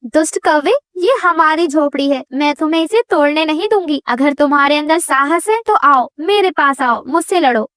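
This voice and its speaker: A woman's voice: high-pitched, moderate pace, a bit bright, no room sound, clean studio sound. A woman voicing a brave, loving mother bird in a cartoon story, reassuring and instructive. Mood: defiant. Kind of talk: animated children's bird story.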